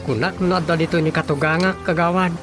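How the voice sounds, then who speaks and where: A man's voice: a little high, fairly even intonation, a little fast, over music, lightly acted. A man with a Filipino accent playing a deferential, hesitant village underling in a radio comedy-drama, full of questions.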